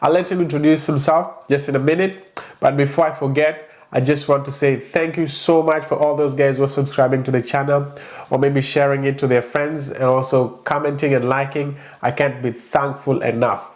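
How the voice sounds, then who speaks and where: A man's voice: mid-range voice, fairly even intonation, normal speed. A man hosting a podcast, chatting casually, laughing often and restarting his sentences. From Kenya. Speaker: podcast host. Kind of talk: casual interview chat.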